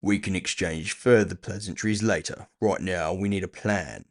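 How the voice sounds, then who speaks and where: A man's voice: low voice, normal speed. A man voicing a big, cool-headed orc bounty hunter, blunt and dryly cocky, in a fantasy audio drama. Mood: businesslike. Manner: blunt, dry, confident.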